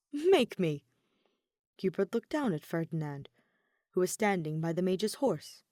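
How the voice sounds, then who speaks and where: A woman narrates a story in audiobook style. Her pitch is slightly low and moves in a very lively way, and the recording is dry and close. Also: no echo. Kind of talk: scripted narration of fan fiction.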